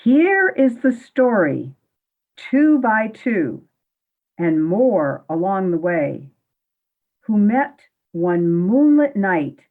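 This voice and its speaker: Radio play narrator, female actor: mysterious and solemn, very measured pace, thin phone-like recording. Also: mid-range voice, heavily acted. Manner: measured, dramatic, drawn-out.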